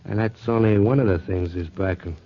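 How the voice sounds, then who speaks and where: A man's voice: fairly low pitch, brisk. A male actor playing a genial but scheming racketeer boss, sly and needling, in a 1940s radio crime drama. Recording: muffled 1940s radio recording.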